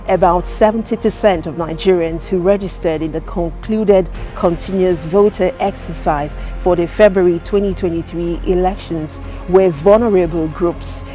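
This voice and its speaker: A woman's voice: lowish voice, warm tone. Direct woman news reporter.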